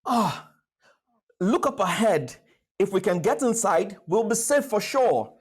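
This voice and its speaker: A woman voicing a cocky, boastful young boy adventurer in an animated series, with a brash manner. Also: medium pitch, unhurried, slightly rough. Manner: brash, bragging. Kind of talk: animated series table read.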